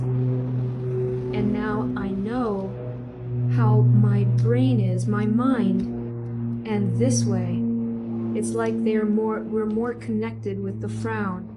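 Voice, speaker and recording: A woman speaking as a patient in therapy, answering in a hesitant, halting way. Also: mid-range voice.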